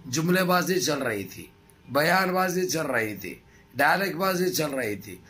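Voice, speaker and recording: A middle-aged man with a hoarse throat gives impassioned political commentary to his viewers in emphatic, repetitive, rhetorical phrases. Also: a little high, very animated, unhurried, sharp tone. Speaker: news commentary vlogger. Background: background music.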